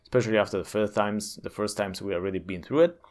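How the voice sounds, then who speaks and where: A man's voice: fairly low pitch, quick pace. A man reading a scripted video game review as a narrator.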